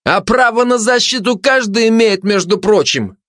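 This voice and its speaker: A man's voice: high voice, moderate pace, fairly bright tone, dry recording, clean studio sound, voice pushed up. A man voicing a cowardly traitor dog in an audiobook, whiny and insistent as he pleads and protests. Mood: defiant protest.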